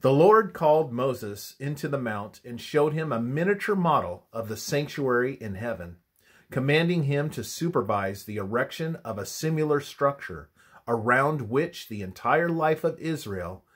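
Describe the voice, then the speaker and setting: A man reading a scripted religious study in a measured, instructive manner, his phrases often trailing off softly at the ends. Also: mid-range voice, expressive, slightly rough.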